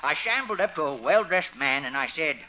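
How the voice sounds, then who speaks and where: A folksy, fussy veterinarian from a 1950s radio comedy. His voice sits high and swoops around as he coaxes and dotes. The recording is muffled and old. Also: lively intonation, brisk, sharp tone. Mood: chatty, eager. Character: folksy, doting small-town veterinarian, fussy and jolly.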